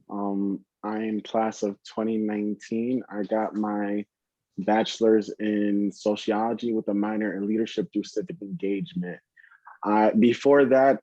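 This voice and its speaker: A young man in his twenties with a low, dark voice, introducing himself steadily on a video call. The audio is dry and dull, like lo-fi call sound. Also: no echo. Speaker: alumni panelist.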